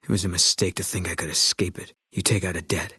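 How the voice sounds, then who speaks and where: An actor voicing a grim, haunted ex-soldier sniper in a video game, talking in terse, clipped lines with a flat, brooding delivery. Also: male voice, deep voice, quick pace, husky, no echo, clean studio sound, exaggerated voice. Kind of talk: video game character dialogue.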